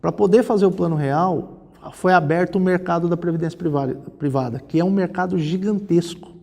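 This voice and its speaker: Brazilian guy in his 40s explaining stuff in an interview, kinda creaky mellow voice, big echoey room. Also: sits high, fairly quick. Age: forties. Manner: explanatory, often seeks agreement with tag questions. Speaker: interview guest, social security lawyer. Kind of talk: conversational explanatory interview talk. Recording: reverberant hall-like room.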